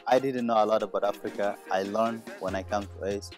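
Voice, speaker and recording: A young man from Ethiopia, a new high school graduate, speaking outdoors with a lilting, sing-song delivery. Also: fairly even intonation, a little fast, soft background music. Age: young adult. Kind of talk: casual testimonial. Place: outdoors by a house.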